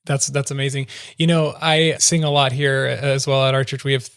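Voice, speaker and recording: A man's voice: medium pitch, flat delivery, normal speed, vocal fry, fairly bright tone, smooth, no room sound, clean studio sound. A man hosting a podcast interview, listening closely and murmuring agreement. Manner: attentive, frequent murmured agreement. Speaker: podcast host and worship leader.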